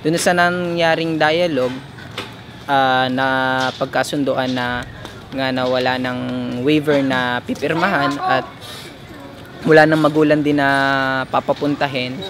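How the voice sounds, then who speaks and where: A man's voice: mid-range voice, little pitch movement, measured pace, long pauses, a bit bright. Young Filipino man, noisy street. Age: young adult. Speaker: student activist. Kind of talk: spoken statement to camera. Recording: noisy outdoor street with traffic.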